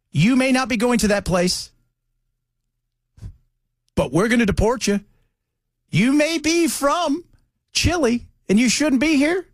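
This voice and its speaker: Male American talk radio host. High, lively pitch swings, opinionated and chatty, with rhetorical questions and mocking asides. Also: no room sound, studio quality. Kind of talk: opinionated political radio commentary.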